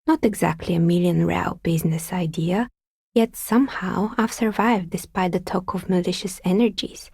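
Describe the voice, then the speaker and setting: Wry calm dicemaker lady from a video game, husky with lots of vocal fry, kinda dry understated delivery. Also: on the low side, moderate pace, no echo. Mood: matter-of-fact, wry. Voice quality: husky, breathy, slightly creaky voice. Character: calm, wry woman artisan who makes and sells novelty dice. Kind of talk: video game character dialogue.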